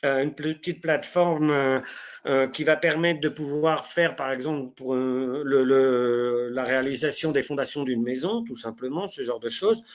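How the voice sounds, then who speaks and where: A man with a head cold giving a live online software demonstration, talking in a hesitant way with many fillers and restarts. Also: mid-range voice, moderate pace. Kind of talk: live software demo walkthrough.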